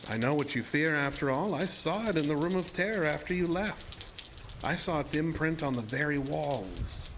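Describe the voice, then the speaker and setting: A man's voice: on the high side, lively intonation, moderate pace, noisy background. A man playing a sinister, seductive illusionist showman in a horror audio drama, speaking in a theatrical, formal and insinuating way. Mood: persuasive. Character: sinister, seductive showman-illusionist, deceptively ageless male villain.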